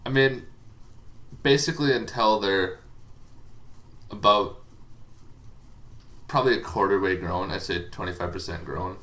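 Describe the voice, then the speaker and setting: Low-voiced man, casual rambling explainer. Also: measured pace, long pauses, a bit bright. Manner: rambling, hesitant, thinking aloud. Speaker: host explaining tabletop game monsters.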